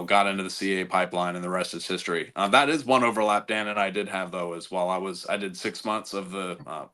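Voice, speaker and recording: Halting low-voiced veteran man. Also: pushed and bright. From United States. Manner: halting, frequent restarts and repeated words. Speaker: podcast guest, Army veteran officer. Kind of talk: casual conversational interview.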